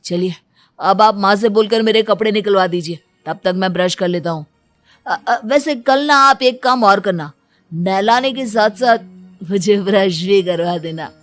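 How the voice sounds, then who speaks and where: A woman's voice: medium pitch, unhurried, faint music behind, lightly acted. A woman voicing a lazy, spoiled teenage son with a whining, flippant manner, in a cartoon story. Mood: sleepy, cheeky. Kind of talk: Hindi cartoon moral-story acting.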